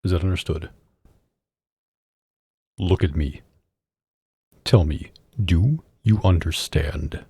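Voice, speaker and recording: A man playing a domineering, sardonic mafia boss in an intimate ASMR roleplay, deliberate and commanding, deep and husky, close on a studio microphone. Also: dark tone, no room sound, exaggerated voice. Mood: stern, commanding.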